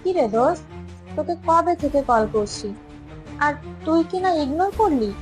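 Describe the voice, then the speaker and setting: A woman as a high-pitched pushy best friend. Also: expressive, measured pace, a little mellow. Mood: teasing, insistent. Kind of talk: audio story acting. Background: music.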